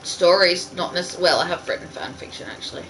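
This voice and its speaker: Woman, bright and forceful tone, casual amateur story reader in a group chat, faint background noise. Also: fairly low pitch, fairly quick, poor audio. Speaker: fan fiction reader in a group show.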